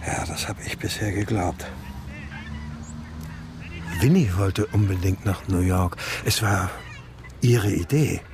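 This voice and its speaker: Troubled husband, reserved, brooding, lowish voice, halting delivery, radio crime drama, noisy background. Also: male voice. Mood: guarded. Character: troubled, subdued husband searching for his missing wife. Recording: clear background noise.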